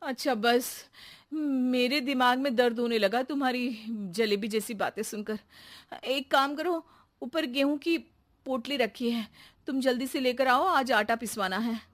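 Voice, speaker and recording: A woman voicing a sensible, wry and firm housewife in an expressive style for a comic animated story. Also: a little high, a little slow, close to an everyday voice. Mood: puzzled, impatient.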